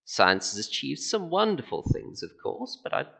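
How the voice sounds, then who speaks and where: A man's voice: mid-range voice, very animated, moderate pace, creaky, sharp tone, husky, exaggerated voice. A man playing a weary, eccentric old alien planet designer in an amateur audio drama, rambling and world-weary. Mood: wistful, rambling. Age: older.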